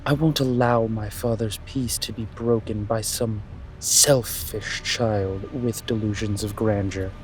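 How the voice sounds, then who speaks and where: A man playing a haughty, calculating young prince in a fantasy audio drama, speaking in a pompous, deliberate, menacing way. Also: lowish voice, steady tone, a little hiss or hum, lightly acted. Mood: disdainful. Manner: pompous, deliberate, drawn-out delivery. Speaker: voice actor.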